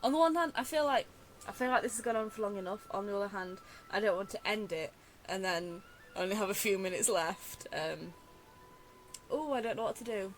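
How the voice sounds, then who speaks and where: A woman's voice: mid-range voice, a little fast, faint music behind. A woman giving casual gameplay commentary as a streamer, musing aloud as she plays. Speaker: gaming streamer.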